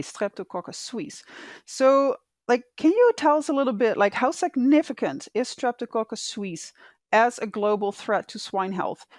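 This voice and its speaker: A woman's voice: medium pitch, dry recording, studio quality. A young woman hosting a podcast, reading her intro and questions in a friendly way with "um" and "like" fillers. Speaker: podcast host.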